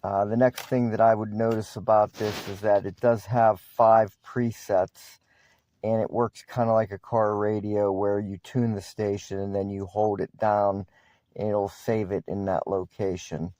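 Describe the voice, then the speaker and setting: Low, mellow man reviewing products. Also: little pitch movement, a little slow, dry recording. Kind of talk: casual unscripted product review.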